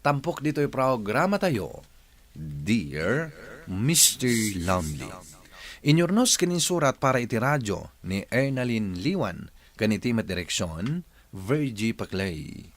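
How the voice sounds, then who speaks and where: A man with an Ilocano Filipino accent hosting a radio drama, reading scripted narration in a measured, emphatic, expressive way. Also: mid-range voice.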